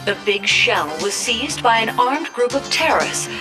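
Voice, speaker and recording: An actress playing a television news field reporter in a video game, with a formal, emphatic delivery. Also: female voice, a little high, sing-song, normal speed, over music. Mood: grave, urgent. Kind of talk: live news report acting.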